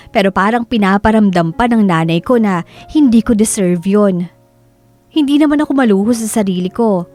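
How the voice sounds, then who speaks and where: A woman's voice: mid-range voice, a little mellow, lightly acted. A young woman with a Filipino accent acting a weary breadwinner daughter in a sorrowful, confessional drama monologue. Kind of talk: dramatic first-person monologue.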